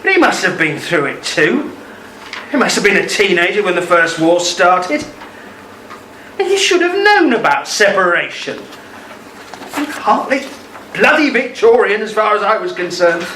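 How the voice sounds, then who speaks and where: An actor playing a guilt-ridden, middle-aged ex-serviceman in a radio play, halting and full of self-reproach. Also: sits high, big pitch swings, bright, forceful tone, exaggerated voice. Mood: confused. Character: guilt-ridden ex-serviceman husband, injured Cold War test veteran. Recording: roomy, distant mic, faint background noise.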